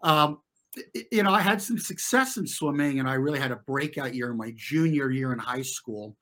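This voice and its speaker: A middle-aged American man speaks as an interview guest in a medium-pitched voice. He tells his story conversationally and reflectively. His phrasing is informal and halting, and he often circles back to reword a thought. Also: a bit bright. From US, New York and New Jersey. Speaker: interview guest, former competitive swimmer.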